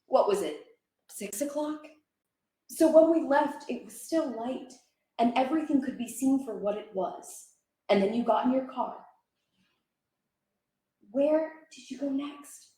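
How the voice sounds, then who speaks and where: A woman, an actor, giving a dramatic reading of poetry with measured, expressive phrasing. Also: high voice. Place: indoor library room at a live event. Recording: echoey room.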